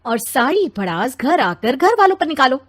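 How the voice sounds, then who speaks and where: A woman voicing an exasperated, sharp-tongued young mother and wife in a story, scolding emphatically. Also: sits high, very animated, moderate pace, pitched above the performer's own voice. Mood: reproachful.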